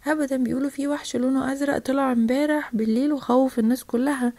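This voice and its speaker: A high, quick, anxious Egyptian parent acted by a woman. The character gossips worriedly like a villager in a children's story, recorded dry and close. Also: fairly even intonation, dark tone, no echo. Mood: worried, gossiping. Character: alarmed, gossiping townsfolk parent spreading monster rumours.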